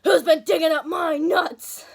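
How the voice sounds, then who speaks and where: A woman voicing a mean, accusing storybook squirrel who shouts in a drawn-out way. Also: very high-pitched, fairly even intonation, gravelly, voice pushed up, full character voice. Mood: angry, accusing.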